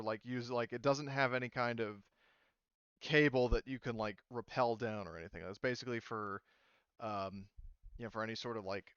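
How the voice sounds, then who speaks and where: Man running a tabletop game, lots of ums and likes, smooth tone, dull dry sound. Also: mid-range voice, a bit bright, no room sound. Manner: rambling, many fillers and restarts. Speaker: game master.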